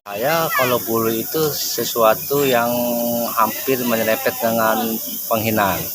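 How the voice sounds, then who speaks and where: A man in his thirties, answering plainly and haltingly. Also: medium pitch, steady tone, fairly bright tone, a little hiss or hum, rough recording. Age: 30s. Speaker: interviewee.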